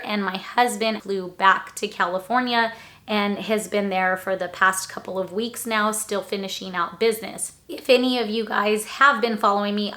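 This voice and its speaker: Woman vlogger, 40s, crisp bright tone, recovering voice, chatty and explanatory, at home with a camera mic. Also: mid-range voice. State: recovering voice after illness. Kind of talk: casual talk to camera.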